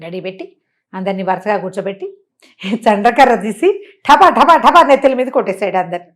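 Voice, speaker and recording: A woman telling a moral tale in a conversational, animated way, putting questions to the listener and acting out dialogue. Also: mid-range voice, a little fast. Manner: conversational, uses rhetorical questions and acts out dialogue. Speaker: storyteller and motivational speaker.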